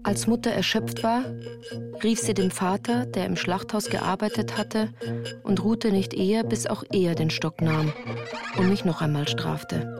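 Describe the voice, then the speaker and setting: A woman's voice: mid-range voice, measured pace, close to an everyday voice. An actress as a woman recounting her childhood in composed, literary first-person narration for a radio drama. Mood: grave, reflective. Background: music.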